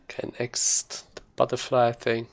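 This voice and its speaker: A man's voice: medium pitch, completely flat delivery, unhurried, vocal fry. A man giving casual, explanatory gameplay commentary as a speedrunner.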